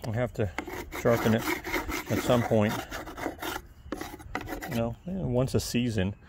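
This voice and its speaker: Calm, gravelly man chatting outdoors. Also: medium pitch, a little slow, very noisy. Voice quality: soft, calm voice. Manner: chatty, rambling asides to the viewer. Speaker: video creator. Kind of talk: casual how-to talk while working. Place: outdoors on a lawn. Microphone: camera held close, point of view.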